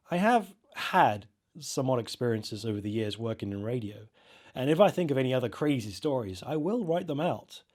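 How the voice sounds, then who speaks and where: A man narrating a first-person horror story in an expressive, dramatised way with halting pauses. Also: mid-range voice. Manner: expressive, halting, acts out reactions.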